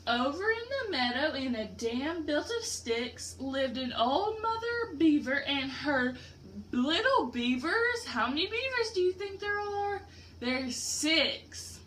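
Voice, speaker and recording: A woman's voice: sits high, sing-song, slow pace, a bit bright, slight background noise. A woman teacher doing an interactive picture-book read-aloud for a class, explaining simply and putting questions to pupils.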